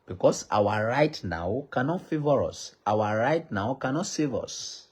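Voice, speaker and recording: Yoruba accent nigerian guy, husky voice, pleading over and over like a preacher. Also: medium pitch, expressive, a little slow. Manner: pleading, repetitive, preacher-like cadence. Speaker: traditional ruler addressing the public. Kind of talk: impassioned public appeal.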